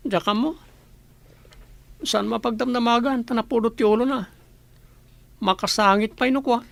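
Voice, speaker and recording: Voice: mid-range voice, steady tone, moderate pace, vocal fry, dry recording, lightly acted. A performer playing a young, bitter and dismissive older brother in an Ilocano-accented radio drama. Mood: sullen. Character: older brother, young, bitter and resentful toward absent mother.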